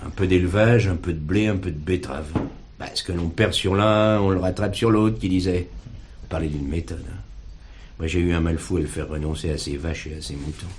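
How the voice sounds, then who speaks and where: An actor playing a plainspoken farmer in a drama, talking in a colloquial, rambling way. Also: male voice, sits low, steady tone, fairly quick, darkish tone, slight background noise, lightly acted. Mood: plainspoken, hospitable. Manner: colloquial, rambling, punctuated with verbal tags. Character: weary farmer talking about his estranged brother.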